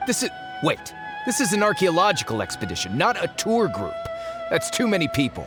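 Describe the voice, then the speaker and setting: A man voicing a scheming treasure hunter posing as an archaeologist, smooth and sly. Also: sits high, wildly swooping pitch, brisk. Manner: smooth, sly, slips and covers himself. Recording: game audio with music.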